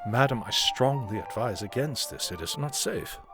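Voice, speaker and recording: A man's voice: mid-range voice, expressive, moderate pace, pushed and bright, soft background music. An actor playing a dutiful, cautious butler in a radio drama, formal and deferential in his speech. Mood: concerned, deferential.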